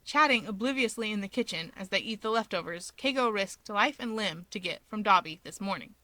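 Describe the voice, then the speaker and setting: Bright-voiced woman reading a story. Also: mid-range voice, lively intonation, fairly quick, no room sound. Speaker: story reader. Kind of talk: scripted fiction narration.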